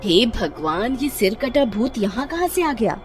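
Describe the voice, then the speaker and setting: An unyielding village housewife played by a woman narrator speaks in alarm, firmly refusing. Her voice is fairly high and swings widely, cartoon style, with faint music behind. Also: very animated, unhurried. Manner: alarmed, firm. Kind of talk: Hindi horror cartoon character voice.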